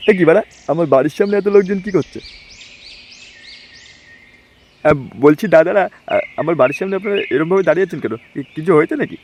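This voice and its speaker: A man voicing an excitable, melodramatic young husband in a comedy cartoon, protesting that he has been wronged. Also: fairly high pitch, very fast, slight background noise, lightly acted. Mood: puzzled. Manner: protesting, wailing.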